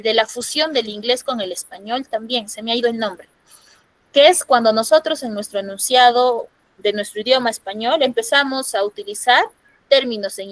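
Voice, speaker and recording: Woman, Peruvian accent, teacher, higher voice, dry close sound, explains and checks in often. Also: no room sound, low-quality recording. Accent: Peruvian Spanish. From Peru. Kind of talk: online class lecture. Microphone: computer microphone on a video call.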